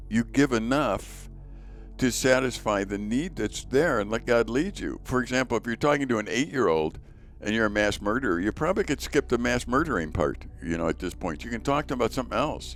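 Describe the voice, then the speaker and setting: A man hosting a faith podcast, with a very deep voice, talking earnestly and telling stories in casual conversation. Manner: earnest, storytelling, repeats words as he goes. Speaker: podcast host.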